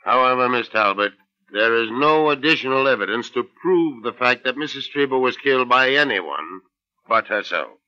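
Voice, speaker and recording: A man playing a formal, businesslike police inspector with a measured manner in old-time radio drama. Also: mid-range voice, lively intonation, pushed and bright. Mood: official, final. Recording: dull lo-fi old radio recording.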